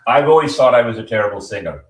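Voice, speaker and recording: A man's voice: medium pitch, lively intonation, fast, far from the mic. A man hosting a podcast, talking energetically and rambling, laughing often. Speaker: podcast host. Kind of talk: casual podcast chat. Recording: roomy, off-mic.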